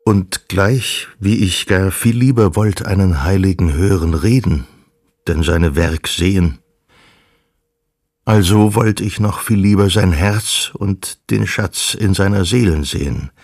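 A man's voice: sits low, darkish tone, no echo, clean studio sound, exaggerated voice. A man reciting grave psalms and old religious texts in a literary radio play, solemn and weighty. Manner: slow, weighty, deliberate delivery. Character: grave male voice reciting psalms and Luther's words.

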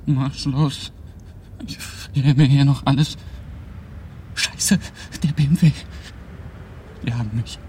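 A man's voice: mid-range voice, steady tone, takes it slowly, pauses often, mellow, slight background noise, natural voice. An actor playing a desperate, frightened man in hiding in a radio play, urging and pleading to himself. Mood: tense, desperate.

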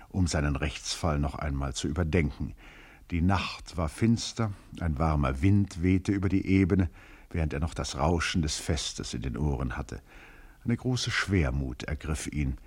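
Very deep-voiced male literary narrator, husky. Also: darkish tone. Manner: eloquent, rhetorical, ironic storytelling with formal diction. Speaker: narrator reading a literary story. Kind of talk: scripted literary narration, audio drama.

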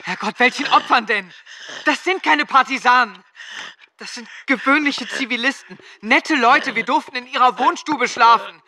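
A man's voice: very high voice, normal speed, crowd noise. A young male actor playing a decent, earnest seventeen-year-old soldier in a German radio drama, speaking dutifully and pleadingly. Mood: indignant, pleading.

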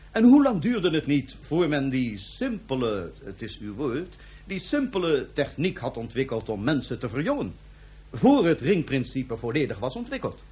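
A cold man of power in a science fiction radio play. His husky voice lectures with formal condescension and sarcasm, the pitch moving expressively as he talks. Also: a little mellow. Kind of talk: Dutch science fiction radio drama acting.

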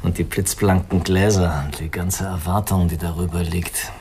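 A man's voice: sits low, steady tone, normal speed, darkish tone, slight background noise, close to an everyday voice. An actor playing a weary, self-pitying man who drinks, musing and cynical, in a radio crime drama. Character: weary, self-pitying male drifter and drinker, arrogant, fatalistic.

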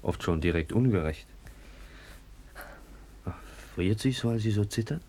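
A young policeman in a radio drama. His voice is deep, his manner self-satisfied and self-important. He speaks, as a dashing suitor. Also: animated, a little slow, mellow, slight background noise. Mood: jovial, dutiful. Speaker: actor in a radio play. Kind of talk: radio play acting.

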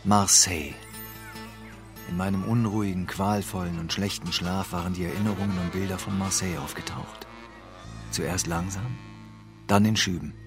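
A man's voice: sits low, fairly even intonation, moderate pace, music in the background, close to an everyday voice. An actor playing a weary, embittered homeless man, narrating with brooding reflection in an intimate radio drama. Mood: bleak, grieving. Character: weary, embittered homeless man mourning his best friend.

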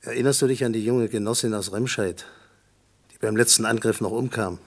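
A sober-minded husband in a radio play. He is gruff and plain in manner, with a creaky, gravelly grain and a husky tone. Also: lowish voice, steady tone, brisk. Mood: sober, reflective. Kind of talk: German radio play acting.

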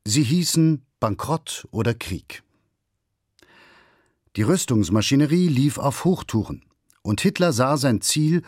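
A man's voice: mid-range voice, darkish tone, no echo, clean studio sound. A man reading a scripted historical documentary as its narrator.

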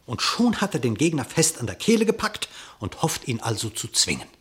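A man's voice: medium pitch, big pitch swings, brisk. A man narrating an epic animal fable in verse for a radio play, with dramatic, vivid storytelling.

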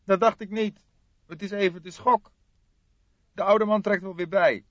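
A man's voice: high voice, steady tone, a little slow, no room sound, highs cut off, voice pushed up. A man voicing a young male police detective, shaken and urgent, in an audiobook. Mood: troubled, reporting. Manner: breathless, urgent.

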